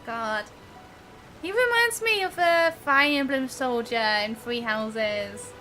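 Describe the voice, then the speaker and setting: A woman's voice: sits high, animated, takes it slowly, bright, forceful tone. A woman streaming a game live with casual, chatty commentary and light reactions, game music faintly behind.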